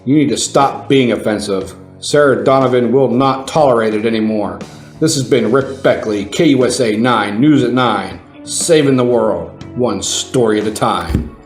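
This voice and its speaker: A man's voice: medium pitch, moderate pace, close to an everyday voice. A man playing a cocky, self-important and slightly dim TV news reporter in a satirical audio comedy. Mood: pompous, announcing. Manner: pompous, self-assured. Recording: roomy sound with faint music.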